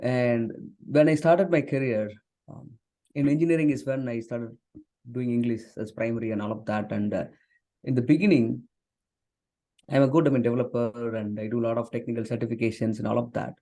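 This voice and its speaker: This is a man from southern India, a native Tamil speaker, talking in an unhurried podcast interview with a mellow, warm tone. His pitch sits in a middling range. He talks earnestly and often pauses on fillers and restarts. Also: steady tone. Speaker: guest, software engineer and author. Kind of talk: conversational interview.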